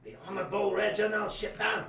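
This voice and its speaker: High-pitched, blustering inspector. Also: male voice, fast, vocal fry, echoey room, distant mic, dull audio. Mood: suspicious. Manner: overblown, declamatory. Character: blustering, accusing French police inspector. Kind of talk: comic old-time radio acting.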